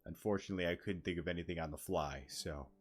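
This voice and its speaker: A man's voice: deep voice, quick pace. A young man giving casual let's play commentary, slangy, sweary and joking. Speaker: gaming YouTuber.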